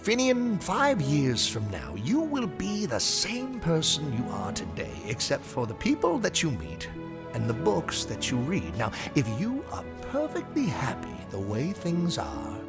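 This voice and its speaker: An actor playing a whimsical, eccentric bookshop owner in an audio drama, theatrical and playfully erudite. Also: male voice, on the high side, huge pitch swings, a little slow, over loud music, exaggerated voice. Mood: knowing, earnest.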